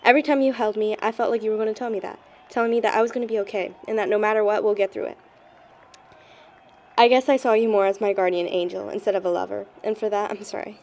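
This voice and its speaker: A woman's voice: mid-range voice, fairly even intonation, quick pace, a little mellow, natural voice. An actress playing a hot-tempered, hurt young woman in an amateur audio drama, with a biting, emotional delivery. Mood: regretful tender.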